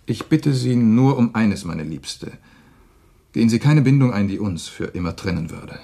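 An actor playing a poor, devoted young lover in a German radio play, tender, halting and formal in speech. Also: male voice, lowish voice, expressive, gravelly, mellow. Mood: pleading.

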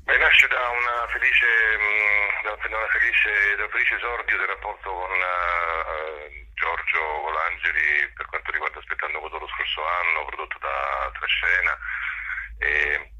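A man being interviewed as a theatre director, speaking spontaneously in a halting, intellectual, emphatic way, often rephrasing. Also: low-pitched, little pitch movement, pushed and bright, slightly rough, poor audio. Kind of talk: spontaneous spoken interview by phone.

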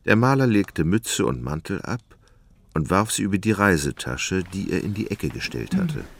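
A man's voice: low-pitched, very animated, normal speed, vocal fry. A man narrating a literary radio drama as a scripted storyteller. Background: music.

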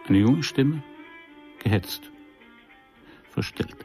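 An actor playing a wry private detective who narrates his case in a dry, deliberate, sardonic way for a radio crime play. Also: mid-range voice, animated, mellow, faint music behind. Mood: dry, tense. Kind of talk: scripted first-person noir radio play narration.

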